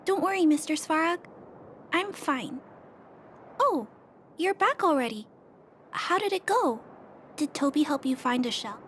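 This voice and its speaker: High-voiced gentle young girl. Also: animated, a little slow, long pauses, slight background noise. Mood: gentle, thoughtful. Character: gentle young girl who repairs robots. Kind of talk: video game voice acting.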